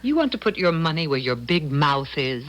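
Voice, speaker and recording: Stubborn small-town wife, blunt and suspicious, highly animated delivery, vintage radio drama. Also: female voice, moderate pace. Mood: defiant. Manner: tart, curt, stubborn. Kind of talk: 1970s radio drama acting.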